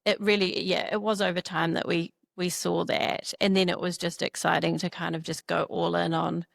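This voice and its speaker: A woman's voice: on the low side, little pitch movement, normal speed, creaky, dry recording, clean studio sound. A woman from New Zealand with a New Zealand accent, co-founder of a startup, chatting in a casual interview, full of "you know" and "like".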